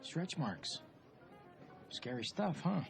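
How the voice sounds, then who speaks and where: Casting: glib, whiny crooked businessman in a comedy film, very lively pitch, music underneath. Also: takes it slowly, gravelly, full character voice. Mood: glib, dismissive. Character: selfish, evasive crooked businessman facing prison. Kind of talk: live-action comedy film acting.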